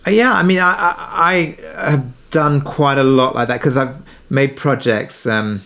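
A man's voice: mid-range voice, unhurried, fairly bright tone. A man giving reflective, somewhat hesitant answers as a guest in a conversational interview. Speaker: interview guest, audio drama producer.

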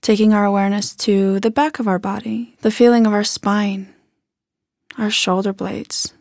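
A woman leading a guided body scan meditation in a gentle, unhurried manner with soft phrase endings. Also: mid-range voice, level tone, gravelly, no room sound, clean studio sound.

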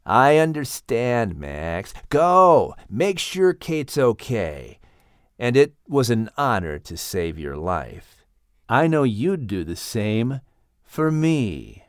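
A man voicing a loyal, wary animal in a children's story, speaking gravely and deliberately. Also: medium pitch, big pitch swings, no echo, studio quality. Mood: solemn, sincere. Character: loyal, solemn, wary male animal friend.

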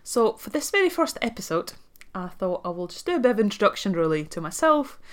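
A woman's voice: mid-range voice, big pitch swings, a little fast. A woman in her thirties from Latvia, a native Russian speaker whose English carries a Latvian accent with a Scottish tinge, hosting a solo podcast in an earnest, chatty way with frequent ums. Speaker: podcast host and life coach.

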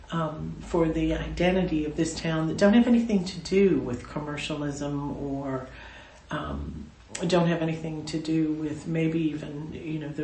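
A woman's voice: deep voice, a little mellow, slight background noise. Older woman, community trust secretary, thoughtful and wry, some room echo. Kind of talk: conversational interview. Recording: roomy echo.

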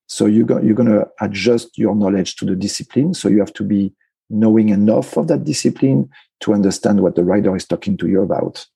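Low gravelly man, English. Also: a little fast, dark tone, dry recording, rough recording. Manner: thoughtful, non-native phrasing with frequent fillers. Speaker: equine veterinarian guest. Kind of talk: conversational interview answers.

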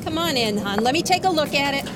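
Woman acting exasperated, high-voiced wife over background noise. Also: animated, fast talker. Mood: scolding. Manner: teasing, chiding, no-nonsense. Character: exasperated, sensible, affectionate wife managing her fretful husband. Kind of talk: audio drama acting.

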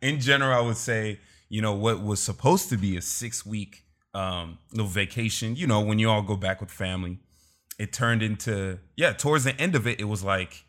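Male podcast host, low register, relaxed and talkative, interviewing remotely. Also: moderate pace. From Los Angeles. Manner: laid-back, says "man" a lot, laughs often. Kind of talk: casual video-call interview. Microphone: remote call.

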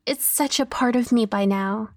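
A woman's voice: on the high side, moderate pace. An actress playing a chirpy female test volunteer in an audio drama, friendly and eager to please.

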